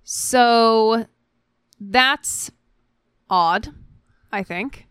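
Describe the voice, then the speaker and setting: A woman co-hosting a podcast, giving casual conversational commentary in a clear, smooth voice. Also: fairly high pitch, drawn-out words, a bit bright, no room sound, studio quality. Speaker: podcast co-host.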